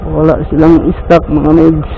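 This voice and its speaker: A man's voice: a little high, monotone, a little slow, creaky, muffled recording. A man chatting casually to his viewers as a motorcycle vlogger, often repeating himself. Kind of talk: casual vlog chat. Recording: music over ride, vehicle and ambient noise.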